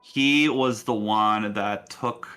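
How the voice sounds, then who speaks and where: A man runs a tabletop game as game master. His voice sits low and has a bright, forward edge. He narrates casually, with faint music underneath. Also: slow pace, clear, resonant tone.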